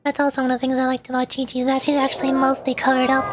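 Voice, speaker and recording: A woman with a notably high voice talks casually on a game livestream. Her manner is playful and exclamatory, and soft music plays behind her. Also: monotone, rapid-fire. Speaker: VTuber streamer, host. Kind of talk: casual livestream chat while gaming. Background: background music.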